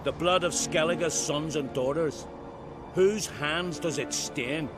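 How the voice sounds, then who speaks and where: An actor playing a stern clan lord in a fantasy game, speaking formally and with solemn authority. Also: male voice, fairly high pitch, slow pace, music in the background. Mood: solemn, demanding. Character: stern clan lord presiding over a council. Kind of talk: dramatic fantasy game acting. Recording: dramatic score behind dialogue.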